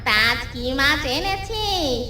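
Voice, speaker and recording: A woman's voice: high voice, animated, pushed and bright, full character voice. A woman voicing a fish-loving female village ghost in a horror cartoon, commanding and loyal, with vengeful weight. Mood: calm, fond. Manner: menacing, drawn-out commanding threats. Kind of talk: Bengali horror cartoon voice acting. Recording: roomy echo, distant, music behind.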